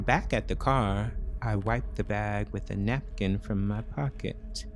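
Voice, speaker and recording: A man narrating a scripted first-person thriller in short, measured, dramatic phrases. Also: fairly low pitch, a little hiss or hum.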